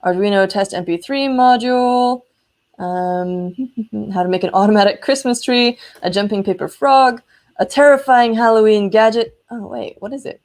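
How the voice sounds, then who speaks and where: A woman's voice: on the high side, moderate pace, darkish tone. A woman hosting a casual live electronics demo, chatty and enthusiastic as she explains hardware. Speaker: host of an electronics prototyping livestream.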